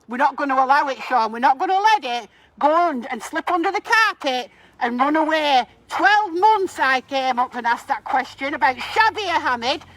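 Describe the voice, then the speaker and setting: High-pitched woman protesting through a megaphone. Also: fairly bright tone, no echo, poor audio. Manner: confrontational, accusatory, addressing bystanders. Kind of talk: loud public protest speech in the street. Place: outdoors on a residential street.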